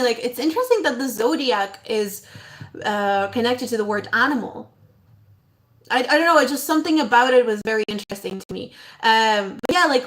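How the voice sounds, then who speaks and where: A young woman hosting an astrology livestream, whose first language is not English, talking in a rambling, reflective way with fillers and soft laughs. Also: mid-range voice. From outside Ireland, moved there at eleven. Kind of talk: casual livestream talk.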